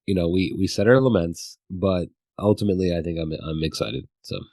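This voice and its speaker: A man co-hosting a podcast in casual discussion, speaking haltingly and often repeating words. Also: low voice, mellow, dry recording, clean studio sound. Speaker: podcast co-host.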